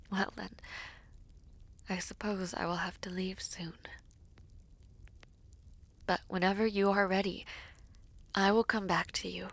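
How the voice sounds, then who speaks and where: A woman playing a poised, teasing, affectionate villainess princess in a fantasy romance audio roleplay, with formal courtly phrasing and a breathy, often near-whispered delivery. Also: medium pitch, flat delivery, slow, pauses often. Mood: tender, teasing.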